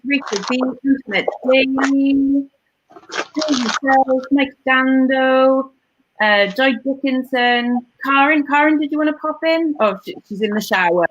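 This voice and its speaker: A woman's voice: high-pitched, unhurried, dull audio. A Welsh woman hosting a casual live stream, chatting in a rambling way with lots of fillers and restarts. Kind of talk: casual chat.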